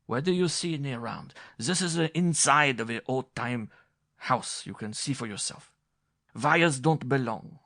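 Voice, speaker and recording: A man's voice: mid-range voice, a little slow, bright, forceful tone, dry recording, studio quality, pitched above the performer's own voice. A man voicing a pompous, sulky film director who speaks broken English. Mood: defensive. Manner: broken English, self-pitying then haughty.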